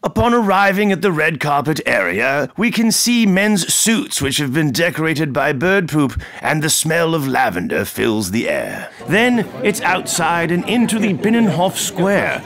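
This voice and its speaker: An American man narrates in the style of a spoof nature documentary. His voice sits a little high and swings widely in pitch, while the delivery stays deadpan and mock-grand, as a comedian would read it. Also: unhurried, hardly any pauses. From United States.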